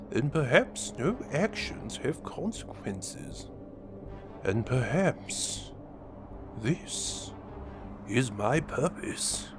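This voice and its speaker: A man playing a villainous, grandiose alien warlord in an audio drama monologue, with theatrical pauses and menacing, hushed drops and swells. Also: medium pitch, exaggerated voice. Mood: bleak musing.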